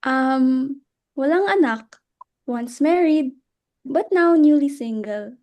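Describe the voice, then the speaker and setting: Woman acting a slow, mellow, shy Filipino newcomer. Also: high voice, lively intonation. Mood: reticent. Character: shy, reserved young widowed newcomer, 28. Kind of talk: dramatic reading.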